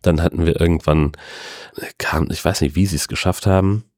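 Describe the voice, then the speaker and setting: A man's voice: low-pitched, steady tone, normal speed, a little mellow, no echo, studio quality. A man hosting a solo podcast from home, telling stories in a rambling, chatty way with wry asides. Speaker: podcast host. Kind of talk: casual solo podcast monologue.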